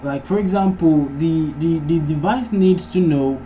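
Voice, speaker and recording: A man's voice: a little high, a little slow, mellow. Man thinking aloud over noisy recording. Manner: casual thinking aloud while typing. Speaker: programming tutorial host. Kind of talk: informal live coding tutorial narration. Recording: clearly noisy recording, keyboard typing. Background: background noise, computer keyboard typing.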